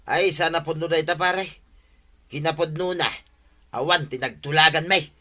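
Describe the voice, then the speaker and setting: Male actor: stubborn village grumbler, Ilocano accent, very sharp forceful voice, higher-pitched, comedy drama. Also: fairly even intonation, normal speed. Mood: exasperated.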